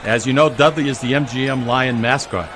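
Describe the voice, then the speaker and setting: A man's voice: mid-range voice, fairly even intonation, fairly quick. A man co-hosting a comic radio parade broadcast, announcing floats and chatting with his fellow commentators. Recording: clearly noisy outdoor broadcast.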